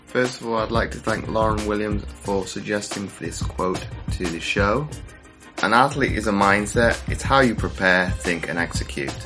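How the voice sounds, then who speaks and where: A man's voice: on the low side, normal speed, over music. A man hosting a podcast, chatting and asking rambling questions in a casual interview. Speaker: podcast host.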